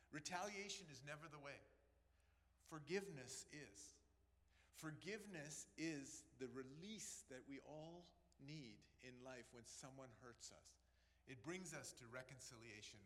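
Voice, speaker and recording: A man in his sixties from Pakistan preaches an earnest sermon, talking straight to his congregation. His voice sits a little high for a man. The sound carries a long echo from a church hall. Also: fairly bright tone. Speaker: pastor.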